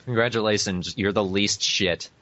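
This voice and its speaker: A man's voice: on the low side, fairly even intonation, normal speed, a bit bright. A man co-hosting a casual podcast with friends, with a wry and crude sense of humor. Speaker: podcast co-host. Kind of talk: casual group podcast chat. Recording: dry, dull lo-fi voice chat.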